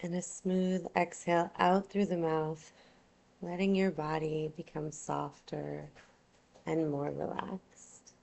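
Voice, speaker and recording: A woman teaching yoga nidra, guiding a relaxation meditation in a soothing, unhurried, gentle way. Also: deep voice, steady tone, gravelly.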